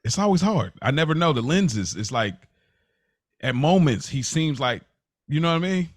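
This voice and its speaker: A man's voice: a little high, big pitch swings, moderate pace, dry recording. An American man co-hosting a podcast, chatting informally with plenty of slang. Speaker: podcast co-host. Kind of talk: casual podcast chat.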